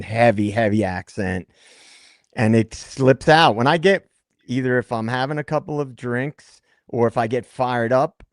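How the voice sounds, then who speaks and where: A man's voice: fairly low pitch, dry recording. Dry-voiced Brooklyn-born man telling stories. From Brooklyn, New York, United States. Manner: animated storyteller who repeats words when he gets going. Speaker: co-host of a true crime livestream. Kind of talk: casual livestream chat.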